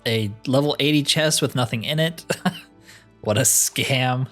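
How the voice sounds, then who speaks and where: A man's voice: mid-range voice, unhurried, a bit bright. Male gaming host, mid-range voice, wry and casual, thinking aloud over music. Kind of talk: casual solo video game playthrough commentary. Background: game music.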